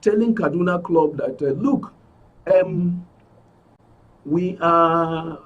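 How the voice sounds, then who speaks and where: Male interview guest, middle-aged, Nigerian accent. Slightly high, rough-edged voice, emphatic delivery, off-mic sound. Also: slow pace, distant mic, highs cut off. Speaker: studio guest, aggrieved club member. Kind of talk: spoken account in a broadcast interview. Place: radio and TV studio.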